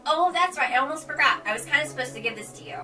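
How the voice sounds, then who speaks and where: Eager high-pitched pony assistant, voiced by a woman. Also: expressive, racing, pushed and bright, far from the mic. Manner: eager, earnest, apologetic. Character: eager, friendly, clumsy young female pony assistant. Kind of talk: amateur radio play acting.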